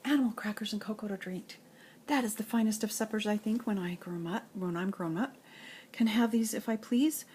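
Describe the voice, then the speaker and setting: An older woman, a grandmother, reading nursery rhymes and children's poems aloud in an expressive, playful sing-song way. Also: mid-range voice, brisk, slight background noise.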